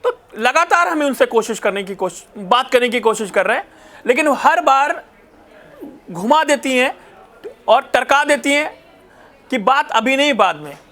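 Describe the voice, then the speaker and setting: Male news reporter, extremely high pitch, insistent and repetitive commentary delivery, some halting self-correction. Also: lively intonation, pushed and bright. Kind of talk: news commentary to viewers, recounting calls.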